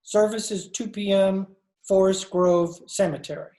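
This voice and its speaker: A woman's voice: mid-range voice, steady tone, measured pace, warm tone, close to an everyday voice. Woman acting a flustered, sardonic male editor, echoey. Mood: dry teasing. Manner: hesitant, placating, dryly teasing. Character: flustered, sardonic male newspaper editor, placating his fiancée. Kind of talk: 1940s radio comedy-drama acting. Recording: echoey room on video call.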